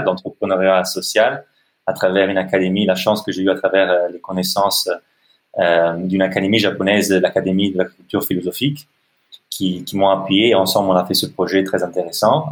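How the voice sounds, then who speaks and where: Deep-voiced Italian man, halting speech. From Italy. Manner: halting, many fillers and restarts. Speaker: podcast guest, social entrepreneur. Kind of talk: hesitant conversational interview answers.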